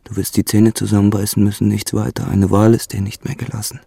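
An actor playing a poor, jealous and possessive farmhand in a German radio drama, with a brooding, insistent and menacing manner and a gravelly, husky voice. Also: male voice, lowish voice, little pitch movement, fast talker, warm tone. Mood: hard, possessive.

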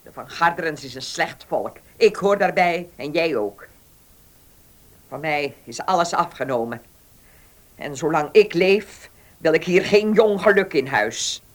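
A bitter old woman who rules the house with her money, in a radio drama. Her voice sits fairly low and swings widely as she taunts and gives orders with open scorn. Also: animated, unhurried. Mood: bitter, defiant. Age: older. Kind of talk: Dutch radio play acting.

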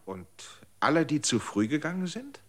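An actor playing an anxious former rebel who pleads and asks searching questions in a radio drama. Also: male voice, on the high side, very animated, moderate pace, music in the background.

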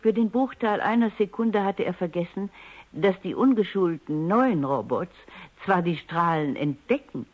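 A woman's voice: lowish voice, expressive, unhurried, highs cut off. An actress playing an analytical, self-assured woman scientist in a radio drama, speaking in precise phrases. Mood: composed, explanatory. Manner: precise, lecturing, composed. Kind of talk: German radio drama acting.

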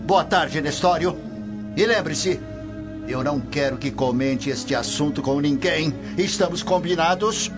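A man's voice: a little high, a little slow, natural voice. A man playing a proud old Roman official in a radio drama, dignified, formal and authoritative. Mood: stern, offended. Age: older. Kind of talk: Brazilian radio drama acting. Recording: muffled radio drama with music.

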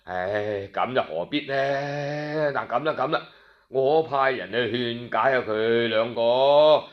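Give this storybook figure, acted out by a man, the voice of a scheming Cantonese chief minister. He speaks slowly, sighing with feigned innocence and soothing, false sympathy. The recording is slightly dull. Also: mid-range voice, voice pushed down, close to an everyday voice. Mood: falsely sympathetic.

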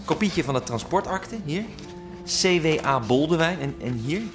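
Casting: male actor for an eager radio drama investigator, highish, lively voice, triumphant, over music. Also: normal speed, lightly acted. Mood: excited, triumphant. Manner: eager, explanatory. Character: eager, triumphant activist researcher uncovering property fraud. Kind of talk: naturalistic radio drama acting.

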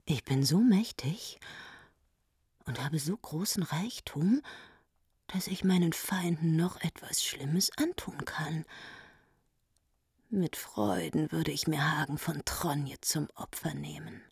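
Vengeful queen, radio drama, breathy whisper, lowish pitch, intense, brooding, intimate. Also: slow, a little mellow, dry recording, studio quality, full character voice. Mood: vengeful, plotting. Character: brooding vengeful queen, plotting against her enemies. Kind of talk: German radio play acting.

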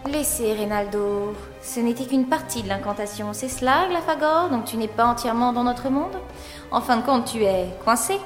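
High-voiced, echoing, bold heroine played by a woman. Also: normal speed, natural voice. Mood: mocking calm. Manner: composed, sardonic, commanding. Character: bold, quick-witted woman defying a demon. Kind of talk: audio drama acting. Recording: echoey long reverb with music.

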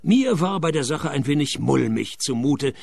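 An actor playing a sardonic private detective who narrates a radio play in a dry, deadpan, mocking tone. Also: male voice, a little high, moderate pace, vocal fry, dry recording. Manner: deadpan, sarcastic.

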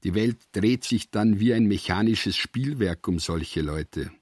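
A man's voice: fairly low pitch, unhurried, darkish tone, breathy edge, dry recording, clean studio sound. A man reading scripted literary prose as the narrator of a radio production.

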